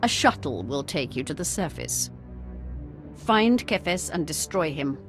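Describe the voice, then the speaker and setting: A woman's voice: sits low, big pitch swings, measured pace. A woman playing a stern, disdainful imperial military commander in a video game, speaking formally. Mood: commanding. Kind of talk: scripted video game acting. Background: music.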